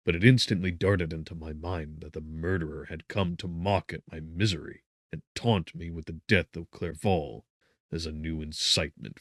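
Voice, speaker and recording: Very deep-voiced man narrating solemnly. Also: no echo, clean studio sound. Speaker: audiobook narrator. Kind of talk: dramatic scripted narration of gothic novel.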